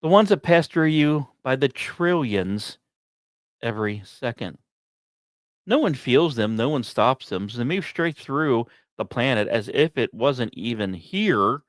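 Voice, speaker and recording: A man hosting a science podcast, reading scripted narration with casual asides and musing, dramatic pauses between short phrases. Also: medium pitch, lively intonation, no room sound. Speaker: podcast host.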